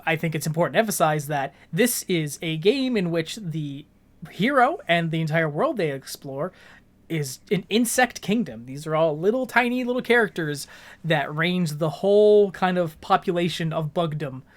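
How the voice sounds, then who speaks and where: A man co-hosting a podcast, explaining things from his notes in an articulate, measured, conversational way. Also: a little high, animated. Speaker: podcast co-host.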